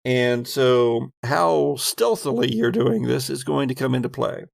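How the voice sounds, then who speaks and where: A man serves as game master, describing scenes and rules off the cuff. His voice is creaky and middling in pitch, recorded close and dry. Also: animated, measured pace, dry recording. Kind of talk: improvised tabletop game narration.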